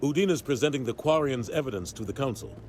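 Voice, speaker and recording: A man acting a seasoned, steady military captain in a video game, plain and serious. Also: medium pitch, quick pace, slight background noise, natural voice.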